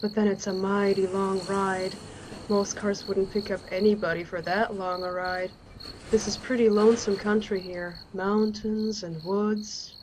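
A woman playing a laconic country roadside attendant in a radio play, speaking in a folksy, plain-spoken, unhurried way. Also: mid-range voice, flat delivery, darkish tone, slight background noise, close to an everyday voice. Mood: laconic, musing. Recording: slightly muffled online recording.

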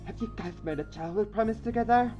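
A man's voice: quick pace, a little mellow, soft background music, natural voice. A man voicing a chirpy teenage schoolgirl in a raised, teasing voice. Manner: teasing, high put-on voice. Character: chirpy teen schoolgirl matchmaker.

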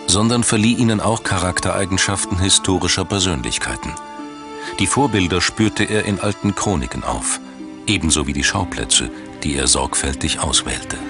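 Male narrator, very low voice, measured and solemn scripted documentary read over prominent music. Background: background music.

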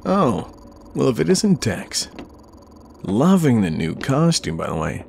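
Man playing a slow-talking, cocky flirtatious supervillain. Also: mid-range voice, big pitch swings, creaky, darkish tone, slight background noise, exaggerated voice. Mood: smug, flirtatious. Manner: teasing, smug, chuckling banter. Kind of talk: ASMR roleplay acting.

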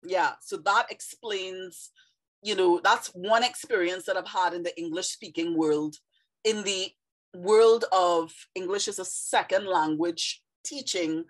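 A woman's voice: on the low side, a little slow, low-quality recording. Trinidadian woman, chatty reflective vlog. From Trinidad and Tobago. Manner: reflective, conversational with many fillers. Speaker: vlogger, former ESL teacher. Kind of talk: casual monologue to camera.

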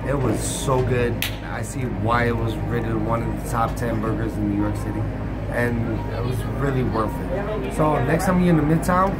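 A man in his thirties reviewing a burger in a casual vlog, chatty and exclaiming, laughing often, filmed on a phone with an earbud mic. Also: medium pitch. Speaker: food vlogger. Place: busy bar and restaurant, indoors. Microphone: earbud mic, phone held close. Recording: noisy crowded bar with music. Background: loud music and crowd chatter.

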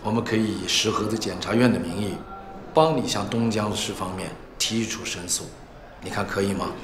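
A man playing an earnest, steady middle-aged prosecutor in a film drama, speaking in a measured, formal way. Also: lowish voice, natural voice. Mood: firm, formal. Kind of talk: naturalistic film acting. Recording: echoey room, music underneath.

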